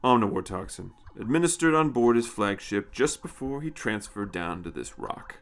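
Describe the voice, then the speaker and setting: A man's voice: fairly low pitch, lively intonation, moderate pace, fairly bright tone, pitched below the performer's own voice. A man voicing a ruthless, fanatical space colonel in an audio story, menacing and contemptuous. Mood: smug, sneering. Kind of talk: audio short story character.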